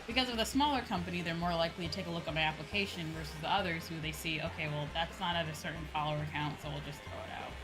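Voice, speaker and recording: Woman streamer w a lowish voice, chatting casually n opinionated, swears a bit, game music behind her. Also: very fast, hardly any pauses, poor audio. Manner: opinionated, frequent tag questions, some swearing. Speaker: VTuber streamer. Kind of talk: casual livestream chat while gaming. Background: video game music.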